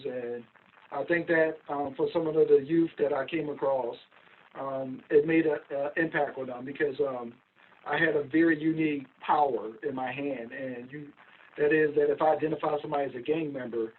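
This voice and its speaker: A middle-aged man from Philadelphia, a guest recounting his career in a measured, steady way with frequent ums. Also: fairly high pitch. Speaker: guest, investigation company owner. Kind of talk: casual interview over a call. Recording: tinny phone-like line, distant mic.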